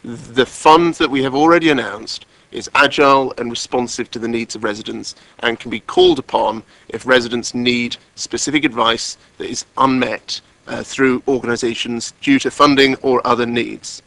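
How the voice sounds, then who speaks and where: A man speaks as a councillor making a formal statement. His voice is bright and firm, and his delivery is measured and deliberate, repeating points for emphasis. The sound is close and dry, with little room echo. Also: mid-range voice, steady tone. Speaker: councillor, cabinet member.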